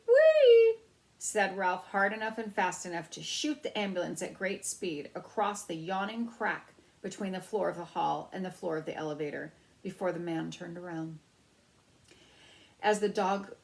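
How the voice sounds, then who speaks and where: A woman's voice: lowish voice, vocal fry. A woman storyteller reading a children's novel aloud.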